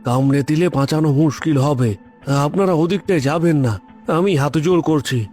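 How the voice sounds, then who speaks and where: A man voicing a nervous, servile caretaker and cook in a cartoon story, halting and pleading as he speaks. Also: a little high, a little mellow, faint music behind, natural voice. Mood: fearful pleading.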